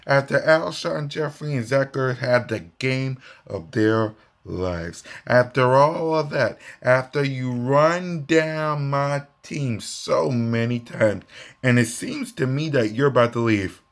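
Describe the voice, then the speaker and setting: An American man in his thirties giving a mocking, repetitive, drawn-out sports fan taunt, recorded on a phone indoors. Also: mid-range voice. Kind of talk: taunting fan vlog, casual trash talk. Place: small room indoors at home. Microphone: phone at arm's length.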